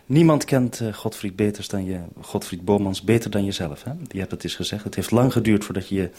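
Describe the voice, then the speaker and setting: A man with a husky voice, hosting a live radio interview. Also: lowish voice, brisk, dark tone, slight background noise.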